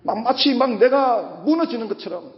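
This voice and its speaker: A male pastor's husky voice rings out quite high, rising and falling in big sweeps as he preaches. He leans on repeated phrases and pointed questions to the congregation. The sound carries a long church-hall reverb. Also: expressive, normal speed, muffled recording. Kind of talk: Sunday sermon.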